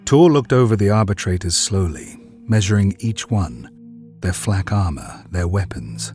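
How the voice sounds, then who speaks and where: A man narrating a scripted drama in a grave, deliberate storytelling manner. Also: deep voice, expressive, darkish tone, faint music behind.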